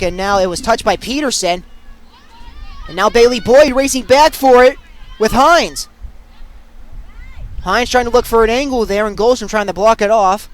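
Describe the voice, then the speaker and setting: A young man doing excitable live play-by-play sports commentary as a student sportscaster. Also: high voice. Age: young adult. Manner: excitable, bursting into shouts on big plays.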